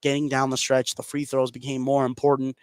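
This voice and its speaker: A man's voice: medium pitch, monotone, fairly quick, dry recording. An American man giving live play-by-play commentary as a basketball announcer.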